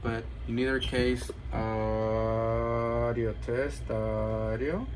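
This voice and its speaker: A man giving a casual, hands-on demo of gear he is selling, talking haltingly as he thinks aloud. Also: mid-range voice, over music. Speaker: radio seller demonstrating gear. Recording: clear background noise, steady hum.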